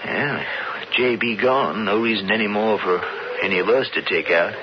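An actor playing an earnest, grateful young cowboy in a radio western, with an American accent and folksy, sincere phrasing. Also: male voice, on the low side, normal speed, natural voice. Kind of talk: radio drama acting. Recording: muffled, noisy old radio broadcast.

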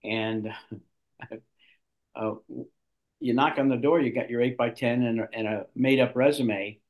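Animated older man telling stories. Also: mid-range voice, unhurried. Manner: animated storyteller, restarts a lot. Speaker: guest actor. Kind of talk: storytelling in an interview.

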